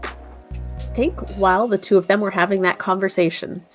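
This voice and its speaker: A woman's voice: medium pitch, fairly even intonation, moderate pace, soft background music. A woman casually describing her character's actions as a player in a tabletop game.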